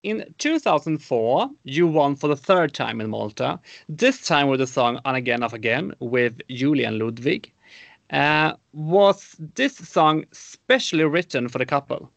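A male interviewer with a gravelly, somewhat higher voice asks prepared questions and supplies facts to his guest. The sound is dry and close. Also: lively intonation, unhurried, a bit bright, no echo, lo-fi sound.

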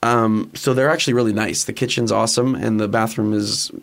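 A man's voice: fairly low pitch, steady tone, fairly quick, creaky. A Canadian man, a musician guest, chatting casually in a rambling, joking way full of fillers and restarts. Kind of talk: casual conversational interview.

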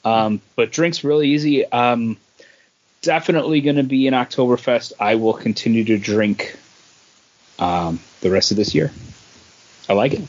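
Measured male podcaster, slightly dull audio. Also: mid-range voice. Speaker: podcast co-host. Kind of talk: casual beer review.

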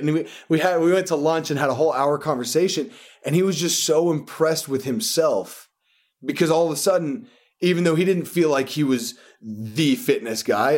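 A man, a fitness coach, telling stories earnestly in a casual interview, often restarting his sentences. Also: a little high. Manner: earnest, rambling, frequent restarts.

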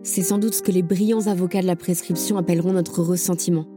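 A woman's voice: fairly low pitch, steady tone, fast, natural voice. A woman playing a resolute camp survivor who urges remembrance, speaking in an earnest, insistent way in an audio drama. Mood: solemn. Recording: music playing behind the voice.

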